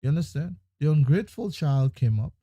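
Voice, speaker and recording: Fired-up man, high dark voice. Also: lively intonation, brisk, dry recording. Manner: emphatic, repeats phrases, swings from loud to quiet. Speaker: political commentator. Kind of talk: impassioned political monologue.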